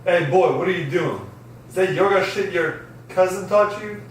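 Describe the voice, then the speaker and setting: A man's voice: high-pitched, moderate pace, natural voice. A man reading the part of a dismissive father at a script table read, in an echoey room far from the mic. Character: gruff dismissive working-class father of a teenage boy. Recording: echoey room, distant mic, faint noise.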